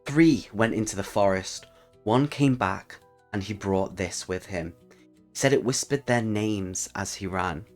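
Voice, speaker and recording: A man acts out a dry, blunt old woman from a dark fantasy tale, in a low register. The old guild leader is terse and unimpressed, with soft music underneath. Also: unhurried, lightly acted. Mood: grave.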